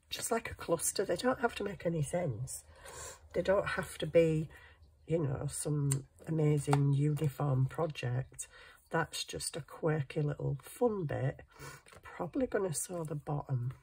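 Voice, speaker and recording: A woman's voice: low voice, warm tone. A middle-aged woman with a congested cold, chatting casually and self-deprecatingly as she does crafts. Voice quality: husky, congested nose. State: bunged up with a cold, sniffing. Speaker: craft channel host. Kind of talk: casual chat while hand sewing. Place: indoors at a cluttered craft table.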